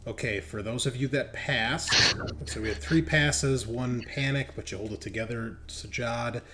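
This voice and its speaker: A man's voice: mid-range voice, a little slow, sharp tone, slight background noise. A man narrating a tabletop horror game as game master in a casual, conversational way.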